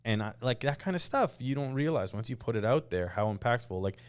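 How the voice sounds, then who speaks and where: A man's voice: medium pitch, expressive, a little fast. A man hosting a podcast, asking easygoing, curious questions in casual interview talk. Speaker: podcast host.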